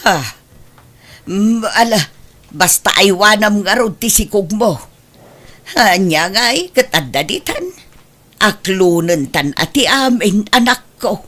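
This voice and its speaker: A woman's voice: mid-range voice, expressive, slow pace, pauses often, fairly bright tone. A woman playing a concerned, plain-spoken older village mother in an audio drama, with an Ilocano Filipino accent. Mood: troubled. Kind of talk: melodramatic radio-style drama acting.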